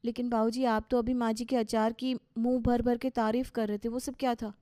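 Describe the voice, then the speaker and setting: Woman as anxious young daughter-in-law, high voice. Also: little pitch movement, fairly quick, dark tone, no echo, clean studio sound, natural voice. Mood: anxious, questioning. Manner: earnest, questioning. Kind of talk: Hindi cartoon story dialogue.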